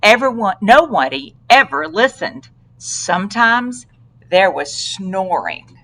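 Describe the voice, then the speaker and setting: A woman reads a children's story aloud in an expressive style. Her pace is slow and deliberate, with dramatic phrasing at a middle pitch. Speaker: storybook reader.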